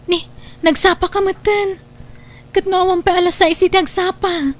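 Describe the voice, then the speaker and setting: A woman's voice: very high voice, little pitch movement, moderate pace. A woman with an Ilocano accent playing an attentive housewife in a radio drama.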